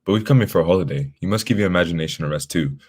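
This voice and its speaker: A man's voice: deep voice, rapid-fire, darkish tone, slightly rough. A man playing a dry, condescending, cerebral detective with sardonic delivery in an old-time radio drama. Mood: brisk, sardonic.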